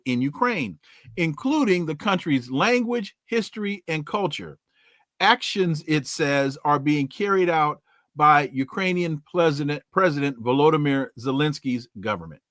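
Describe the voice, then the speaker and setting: A man's voice: a little high, a bit bright, no room sound, studio quality. A man hosting a podcast, giving emphatic commentary with rhetorical questions and folksy sayings before bringing on his guest. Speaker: podcast host. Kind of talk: news commentary and interview opening.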